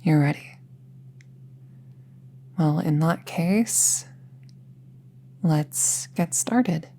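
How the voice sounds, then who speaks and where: A woman playing an earnest apprentice witch in an intimate roleplay audio drama, speaking in a hesitant, gently reassuring way. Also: low voice, gravelly, a little hiss or hum, exaggerated voice. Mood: earnest, careful. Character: earnest, careful apprentice witch, close friend to listener.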